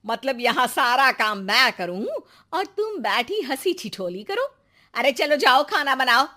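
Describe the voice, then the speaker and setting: A woman's voice: sits high, very animated, measured pace, sharp tone, heavily acted. A woman voicing a bossy, two-faced middle-aged mother-in-law in a cartoon story, protesting and scolding.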